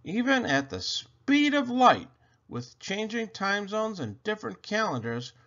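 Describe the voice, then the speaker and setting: Man playing a scoffing know-it-all boy, high and lively, storybook voice, dull lo-fi sound. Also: exaggerated voice. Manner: insistent, scoffing. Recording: dull lo-fi recording.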